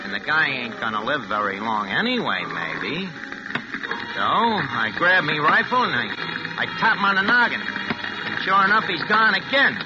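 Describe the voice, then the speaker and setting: A slangy small-time crook. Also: male voice, fairly high pitch, very animated, unhurried. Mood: confiding. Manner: tough-guy slang, confiding, nervous. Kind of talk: 1940s radio acting. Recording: dull old radio recording with music.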